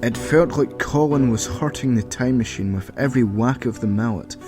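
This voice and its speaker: A man narrating an original audio story in an even, measured reading voice. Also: medium pitch, over music.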